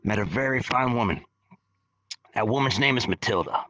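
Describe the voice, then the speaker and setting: A man's voice: mid-range voice, moderate pace, fairly bright tone, no room sound, lightly acted. A man playing a laid-back, centuries-old skeleton rocker who talks like a drawling slacker and says "man" constantly. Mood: chill. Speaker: bassist and singer in the guest band. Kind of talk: in-character storytelling. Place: virtual-world podcast, dry sound.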